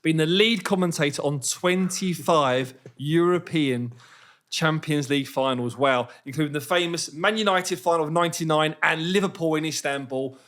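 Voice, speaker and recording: A man's voice: fairly high pitch, moderate pace, fairly bright tone. A man hosting and interviewing in a casual, chatty style. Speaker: podcast host, interviewer. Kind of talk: casual chat.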